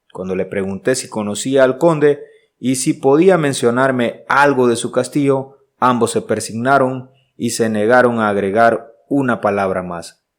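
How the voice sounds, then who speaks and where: An actor playing an earnest, uneasy traveller who narrates his diary in measured, literary style for a radio drama. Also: mid-range voice, natural voice.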